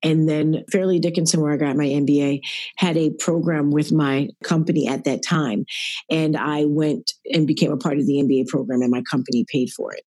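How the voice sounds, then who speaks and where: Woman with a deep raspy voice. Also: fairly even intonation, a little fast, warm tone, no echo. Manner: earnest, faith-centered, speaks in lists. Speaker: guest. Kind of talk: reflective podcast interview. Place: at work.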